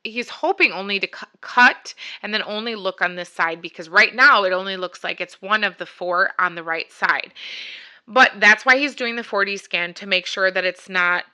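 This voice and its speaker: A woman's voice: mid-range voice, normal speed, bright, forceful tone, clear, resonant tone. A woman vlogger telling a personal story in a casual, chatty, rambling way, voicing both sides of conversations as she goes. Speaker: vlogger sharing a personal health journey.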